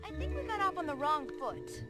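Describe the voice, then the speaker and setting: Very high, teasing cat burglar. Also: female voice, very animated, fairly quick. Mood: flirtatious. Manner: coy, taunting. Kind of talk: video game cutscene acting. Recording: loud music behind.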